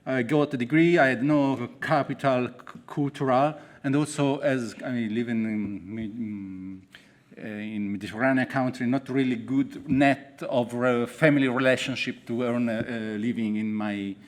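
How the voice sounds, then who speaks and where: A man from Italy with an Italian accent, an author telling his own story at a festival in halting, broken English. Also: medium pitch. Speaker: author on a panel. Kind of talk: personal account to a festival audience.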